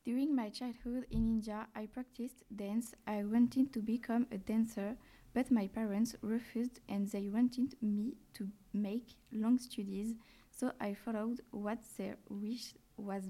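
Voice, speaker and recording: A woman guest on a podcast, answering questions in a careful, slightly halting way. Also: on the high side, fairly even intonation, mellow.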